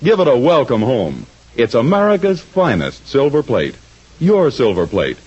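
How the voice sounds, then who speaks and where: A man's voice: medium pitch, huge pitch swings, normal speed, a little mellow, husky. A man working as a radio announcer, reading a scripted 1940s advertisement in a polished, grandly salesy manner. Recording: dull vintage radio recording.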